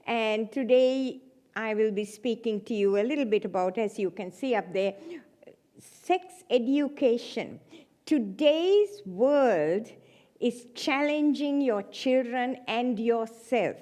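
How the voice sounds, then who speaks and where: A woman's voice: on the high side, lively intonation, slow, warm tone. A woman in her seventies from Sri Lanka, giving a chatty church talk with self-deprecating humour. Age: 70s. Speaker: guest speaker. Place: church hall.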